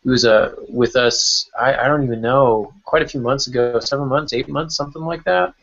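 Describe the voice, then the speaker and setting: A man's voice: mid-range voice, normal speed, creaky, a bit bright. A man hosting a podcast roundtable in a casual, conversational way over an online call. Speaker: podcast host. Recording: dull lo-fi online call audio.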